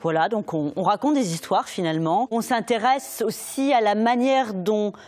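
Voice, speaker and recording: A woman's voice: medium pitch, normal speed, darkish tone. A woman storyteller talking in an explanatory interview way, thoughtfully rephrasing as she goes. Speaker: storyteller with a theatre company.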